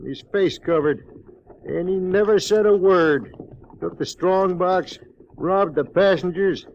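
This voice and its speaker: An actor playing a blunt, indignant stagecoach driver with a gravelly voice and rustic American speech in a radio western. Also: male voice, high voice, slow pace, darkish tone, a little hiss or hum. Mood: angry, baffled. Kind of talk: 1950s radio western acting. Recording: dull 1950s radio recording.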